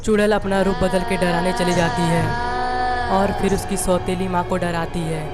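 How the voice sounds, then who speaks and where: A woman's voice: fairly low pitch, level tone, moderate pace. A woman reading a scripted children's story as its narrator. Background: music.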